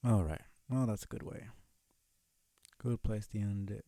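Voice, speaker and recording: A man's voice: lowish voice, unhurried, warm tone, no room sound. A man chatting casually as a livestream host, in a laid-back, muttering, profane way on a close mic.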